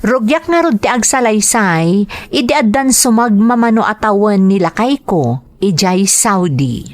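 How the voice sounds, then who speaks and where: A woman's voice: medium pitch, animated, unhurried. A woman presenting a radio drama, reading out greetings and a listener's letter in a scripted style.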